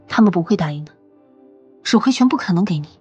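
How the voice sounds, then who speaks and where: A woman voicing an earnest, worried resistance fighter in an animated comic drama, speaking expressively and with urgency. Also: on the low side, fast, slightly rough, muffled recording. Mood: incredulous. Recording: faint music behind the voice. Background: music.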